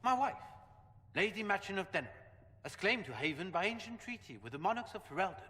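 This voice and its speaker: An actor playing a proud, indignant marquis in a video game, pompous and formal. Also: male voice, sits high, sing-song, brisk, pushed and bright, big-room sound, heavily acted. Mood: haughty indignation. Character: haughty, indignant male marquis, proud landowner. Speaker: nobleman. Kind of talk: dramatic video game voice acting.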